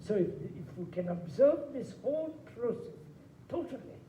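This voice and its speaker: Male lecturer, deep mellow tone, slow and deliberate, reflective; roomy archive-style recording. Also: expressive, a little hiss or hum.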